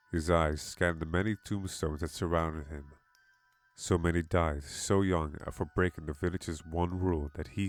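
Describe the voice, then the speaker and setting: A man's voice: low voice, dry recording. A man reading a scripted horror story as its narrator.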